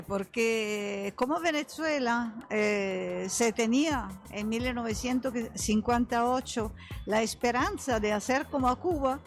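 Older woman, Italian accent, slow halting delivery, higher-pitched voice, political radio interview guest, music behind. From Italy. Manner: halting non-native phrasing, searching for words. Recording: music under the voice.

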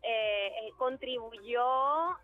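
Earnest actress on a phone line. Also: female voice, on the high side, drawn-out words, no echo. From Venezuela. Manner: earnest, thoughtful, with many hesitations. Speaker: actress, interview guest. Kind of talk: phone interview. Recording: tinny phone line with faint music.

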